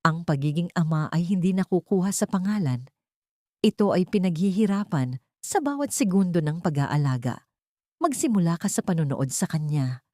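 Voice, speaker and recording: A woman narrating a sentimental story from a script in a measured, solemn, poetic manner. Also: lowish voice, expressive, mellow, no echo, clean studio sound.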